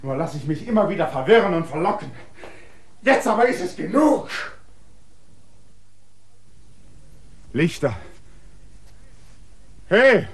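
A man's voice: mid-range voice, natural voice. An actor playing a restless, defiant male land surveyor in a radio drama, brooding and stubborn in tone.

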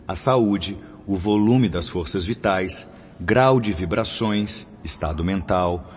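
An actor playing a calm, didactic male guide from the spirit world in a radio drama, speaking in a solemn, measured way. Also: on the low side, slightly rough. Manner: solemn, instructive, measured enunciation. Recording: muffled, reverberant hall, noisy radio-drama recording.

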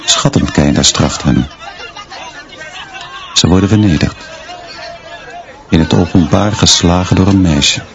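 An actor playing a stern, austere schoolteacher who narrates a radio drama in terse, measured, clipped sentences. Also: male voice, sits low, a faint crowd. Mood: grim, detached. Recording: dull sound, noise and murmur behind.

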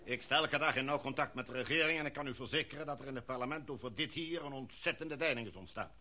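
A man's voice: medium pitch, fast talker, sharp tone. A man playing a commanding expedition chief. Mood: measured. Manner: formal, speech-like address to a meeting. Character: commanding male expedition leader, formal and decisive. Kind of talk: radio play acting.

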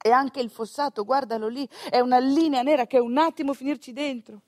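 Female stage storyteller, northeastern Italian accent, highish voice, expressive and conversational, very dry sound. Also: fairly quick, no echo. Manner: expressive, conversational, with rhetorical questions and asides. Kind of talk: theatrical monologue storytelling.

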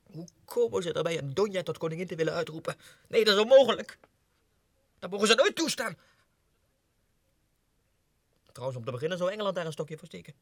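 A self-important Russian clerk sliding into madness in an old radio play. His voice is husky and very animated in pitch, lurching between muttered whispers and agitated outbursts. The studio sound is dry and close. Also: quick pace, dry recording. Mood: indignant, agitated. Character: deluded low-ranking Russian clerk, obsessive, self-important, going mad. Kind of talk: 1960s radio drama monologue, diary entries.

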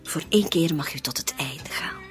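A woman's voice: sits low, wildly swooping pitch, moderate pace, music in the background. An actress playing a cunning, composed female decoy in a radio play, talking coaxingly. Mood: coaxing, sociable. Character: friendly, cunning hired female decoy, reluctant accomplice. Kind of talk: radio drama acting.

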